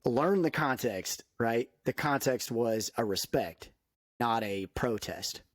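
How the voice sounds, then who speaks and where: A man's voice: lively intonation, slow, no echo, studio quality. Opinionated man in casual podcast chat. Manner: opinionated, emphatic, jumps in pitch when worked up. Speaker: podcast co-host.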